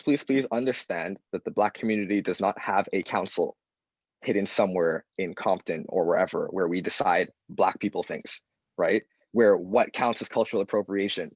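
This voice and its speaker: A young man speaking at an open mic panel, talking earnestly and directly and quoting people in lively voices. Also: fairly low pitch, husky, dry recording. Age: young adult.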